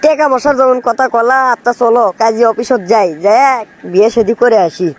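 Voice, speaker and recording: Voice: mid-range voice, fairly quick, lightly acted. An actor plays a cheeky village Romeo from a comic cartoon. He has a Bogura accent from northern Bangladesh. His talk is playful and flirty, and he slips into crooning to charm women. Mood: comic grumbling. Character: flirtatious village man serenading women on the road. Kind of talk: comic cartoon skit dialogue with snatches of song.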